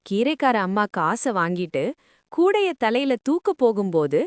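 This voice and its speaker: A woman telling stories to young children in an expressive, affectionate way. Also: medium pitch, measured pace, no echo, clean studio sound. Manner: animated, addresses listeners affectionately. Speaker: children's storyteller.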